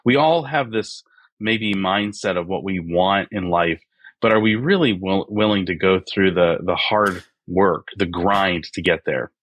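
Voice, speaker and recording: A man's voice: deep voice, measured pace, a bit bright, dry recording. A man in his forties, a podcast guest, talking earnestly with many fillers and restarts. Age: 40s. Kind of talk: reflective interview talk.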